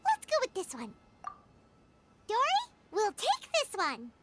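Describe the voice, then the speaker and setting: A tiny childlike fairy sidekick from a video game. The voice is extremely high and squeaky, with very animated swings. The delivery is chirpy, eager and nosy, with music playing behind it. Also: female voice, slow, full character voice. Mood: uneasy, curious. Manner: refers to self in third person, chirpy. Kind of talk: video game character acting.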